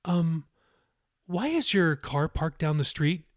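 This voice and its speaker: Man voicing an excitable, anxious mom, raised pitch. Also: takes it slowly, no echo, studio quality. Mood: puzzled. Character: anxious wife and mother, excitable. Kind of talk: audiobook character dialogue.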